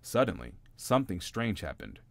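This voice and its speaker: A man reading a bedtime story aloud in a gentle, expressive storytelling manner. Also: low voice, normal speed, creaky, dry recording. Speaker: narrator.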